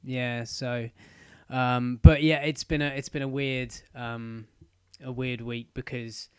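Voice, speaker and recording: A man co-hosting a podcast in casual chat, halting with many ums, still getting over an illness. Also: medium pitch, fairly even intonation, smooth, no room sound. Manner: halting, lots of ums and uhs. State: recovering from illness. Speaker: podcast co-host.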